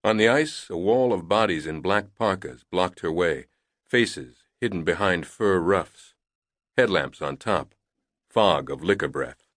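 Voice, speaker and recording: Man reading a novel, deep voice, slow careful delivery, dry close sound. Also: animated, a bit bright, no echo. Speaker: audiobook narrator. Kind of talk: scripted novel narration.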